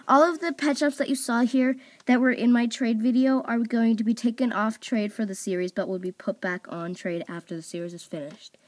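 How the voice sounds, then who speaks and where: A woman's voice: fairly high pitch, fairly even intonation, fairly quick, few pauses, darkish tone. A young woman casually introducing her characters at home, talking in a rambling way with lots of fillers. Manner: rambling, filler-heavy, scolds her dog off-mic. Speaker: video creator. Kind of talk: casual chat. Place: home room at a table of toys.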